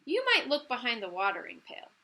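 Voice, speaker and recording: A woman voicing a kindly, brisk little fairy godmother in a storybook, giving emphatic instructions with drawn-out words. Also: on the high side, big pitch swings, pushed and bright, full character voice. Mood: instructing.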